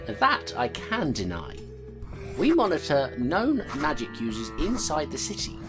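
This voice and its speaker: A tough, wry constable in an audio drama, her voice low but swinging widely. She talks bluntly and guards what she says. Music plays behind her. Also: huge pitch swings, unhurried, heavily acted. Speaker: constable narrating her case. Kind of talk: audio drama narration and dialogue.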